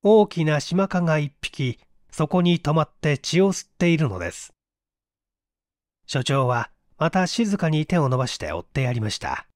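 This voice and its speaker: A man's voice: on the high side, lively intonation, moderate pace, no echo, clean studio sound. A man reading scripted story narration as an audiobook narrator.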